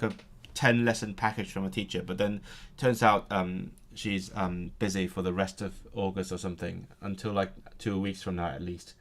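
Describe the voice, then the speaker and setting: A young man talks casually on a live stream as he studies. He interrupts himself often and says practice words aloud over and over, in a fairly low voice. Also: a bit bright. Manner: chatty and self-interrupting, reads out and repeats practice words aloud. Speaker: language learning streamer. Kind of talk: casual live-stream chat while studying.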